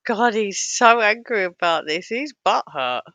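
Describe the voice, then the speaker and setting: A woman giving casual, scornful reaction commentary on a clip, a little hoarse as she loses her voice. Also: medium pitch, animated, measured pace, a bit bright. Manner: scornful, sarcastic, mocking. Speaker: YouTube commentator.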